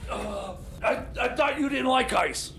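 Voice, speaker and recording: Radio comedy sidekick: extremely high creaky voice, tipsy, flustered, stammering rambler. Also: male voice, unhurried, pushed and bright, full character voice. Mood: befuddled. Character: rattled, drunken, high-voiced oddball sidekick and movie critic. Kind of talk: improvised comedy radio theater.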